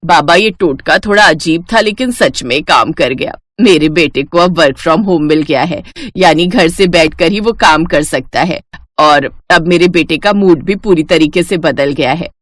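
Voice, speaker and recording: A woman's voice: sits low, measured pace, fairly bright tone, no room sound. A woman playing an earnest middle-aged mother-in-law who explains things matter-of-factly in a family cartoon story. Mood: confessing, relieved. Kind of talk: Hindi cartoon story voice acting.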